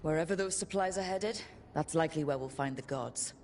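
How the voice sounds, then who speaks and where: Low-voiced woman a resolute fantasy leader. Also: fairly quick, darkish tone, a little hiss or hum. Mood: determined. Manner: earnest, rallying, plain-spoken. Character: resolute young woman leading a band of heroes. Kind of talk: dramatic fantasy game voice acting.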